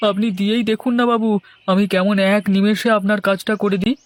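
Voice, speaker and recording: A man's voice: high-pitched, steady tone, fast, lightly acted. A man playing a poor, meek village labourer in a cartoon, deferential and eager to please. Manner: deferential, pleading. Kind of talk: Bengali cartoon voice acting.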